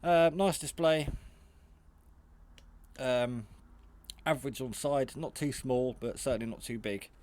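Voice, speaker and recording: A man's voice: medium pitch, unhurried, long pauses, darkish tone, slight background noise. A man giving a casual, hands-on product demonstration in a hesitant, rambling, matter-of-fact way. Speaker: hobbyist radio reviewer. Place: garage.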